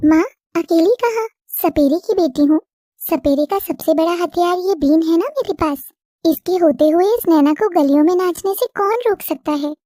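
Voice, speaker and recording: A woman's voice: moderate pace, dry recording, studio quality. Woman voicing a sassy young snake-charmer girl. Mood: defiant. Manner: sassy, teasing, high and animated. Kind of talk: cartoon story voice acting.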